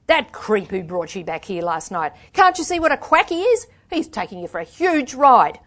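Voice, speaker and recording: A woman's voice: on the low side, normal speed, natural voice. A woman voicing a furious, exhausted woman carer in a dramatic book reading, blunt, sweary and accusing.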